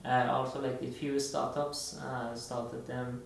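A man's voice: mid-range voice, level tone, measured pace, creaky, a little hiss or hum. A man from Iran, co-hosting a casual podcast chat and talking hesitantly with many fillers and restarts. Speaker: podcast co-host. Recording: very echoey room, distant mic.

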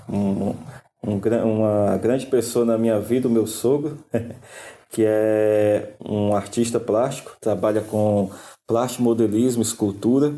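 A man's voice: medium pitch, mellow. A man hosting a casual podcast interview, introducing his guest in a slightly hesitant way. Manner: hesitant, with fillers and "né?" tags.

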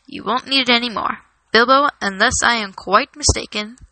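A woman voicing a grave, stern old male wizard in a storybook, firm, patient and deliberate. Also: a little high, sharp tone, no room sound, rough recording. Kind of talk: storybook character reading.

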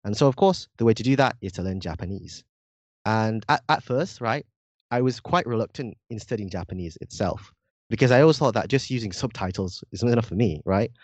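A man's voice: fairly low pitch, expressive, brisk, no room sound. A young man from Indonesia with a slightly British-sounding accent, explaining things earnestly as a podcast guest, often adding "like" and "right?". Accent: slightly British-sounding English. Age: young adult. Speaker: podcast guest, university student. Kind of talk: casual interview chat.

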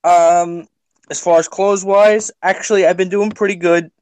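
A man's voice: sits high, fairly even intonation, normal speed, a little mellow, clean tone, dry recording, low-quality recording. A man telling stories as a guest on a casual chat stream, rambling and restarting. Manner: rambling, self-interrupting.

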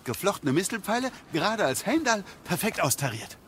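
High, lively, fussy craftsman acted by a man. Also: a little fast, background noise. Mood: awed, grateful. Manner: stammering, fussy, agitated. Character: fussy, squeamish craftsman, excitable and easily flustered. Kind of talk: audio drama acting.

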